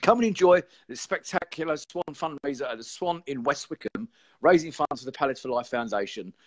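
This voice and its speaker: A man, hoarse and croaky, hosting a casual live stream show and chatting in a rambling way. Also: medium pitch, lively intonation, fairly quick. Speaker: podcast host.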